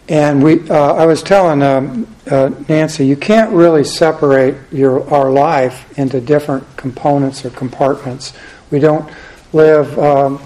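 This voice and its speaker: A soft-spoken man teaching a church Bible class and leading a prayer, halting with frequent fillers. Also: medium pitch, poor audio.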